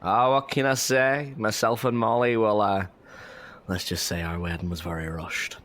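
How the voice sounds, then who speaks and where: A man performs a young working man of Victorian London for a historical audio drama. His voice sits low, and he chats in a cheeky, jovial, bantering way. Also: fairly even intonation, moderate pace, a bit bright, lightly acted. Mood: fond, bantering.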